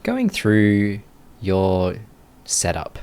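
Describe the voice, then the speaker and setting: Slow, low-voiced man guiding meditation. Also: huge pitch swings, clean tone. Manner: unhurried, gentle instructing with long pauses. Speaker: meditation teacher. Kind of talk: guided meditation instruction.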